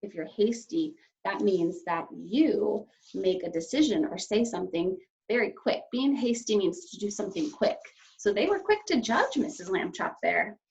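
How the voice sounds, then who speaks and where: A woman teacher reading a children's story aloud and explaining it to young pupils in an expressive way. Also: mid-range voice, vocal fry. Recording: slightly dull, lo-fi sound.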